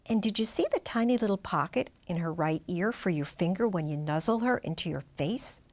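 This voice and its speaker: A woman voicing a loving older grandmother in a storybook, affectionate and gently cautioning. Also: lowish voice, lively intonation, fairly quick. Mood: tender. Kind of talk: children's storybook character voice.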